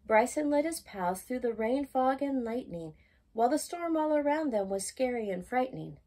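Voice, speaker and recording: A woman reading a rhyming children's picture book aloud as a storytime host, with expressive, singsong emphasis. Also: sits high, moderate pace.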